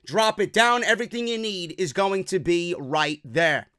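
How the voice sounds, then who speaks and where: An energetic man hosts a wrestling news show from his office, casual and loose with his words. His voice sits high and bright, with a smooth, clear tone. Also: moderate pace, dry recording, studio quality. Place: office at a desk.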